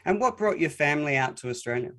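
A man's voice: a little high, lively intonation, fast, bright, forceful tone. A man hosting a faith podcast, asking questions in a conversational way with a few hesitations. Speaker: podcast host. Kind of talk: podcast interview.

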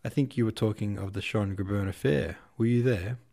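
A man reading the part of an aloof young aristocratic officer, speaking with cool, quietly contemptuous irony. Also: on the low side, a little fast, gravelly. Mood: ironic.

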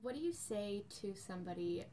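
A woman's voice: medium pitch, expressive, fairly quick, mellow, slight background noise. A young woman asking an interview question and listing common objections.

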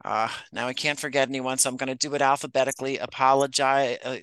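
Bright, clear-voiced man answering interview questions. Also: mid-range voice, no room sound. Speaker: podcast writer-producer and actor, interview guest.

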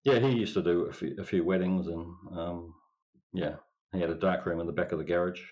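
A man's voice: low-pitched, fairly even intonation, a little fast, darkish tone, low-quality recording. A middle-aged man with a New Zealand accent, a winemaker guest chatting in an interview with a dry, laconic manner. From England. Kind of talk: casual interview chat.

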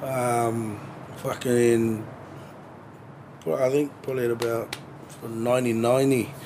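A man's voice: mid-range voice, flat delivery, unhurried. Swearing, easygoing New man. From New Zealand. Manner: loose, swears constantly, laughs easily. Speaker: musician guest. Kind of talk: casual interview chat. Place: balcony outdoors. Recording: outdoor balcony with background noise.

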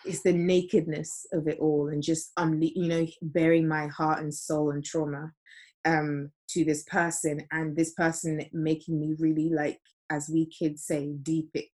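A woman's voice: low voice, level tone, measured pace, darkish tone. A young woman in casual chat, playful and full of slang.

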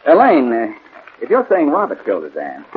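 Fast, cocky radio detective. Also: male voice, mid-range voice, wildly swooping pitch. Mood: probing. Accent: American. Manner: brisk, wisecracking. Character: cocky quick-witted male amateur detective, needling the police. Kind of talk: 1940s radio detective acting. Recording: muffled 1940s radio broadcast, noisy.

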